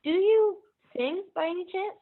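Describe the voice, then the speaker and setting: High lively woman on a tinny line. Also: normal speed. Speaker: interviewer asking a question. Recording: tinny phone-like call audio.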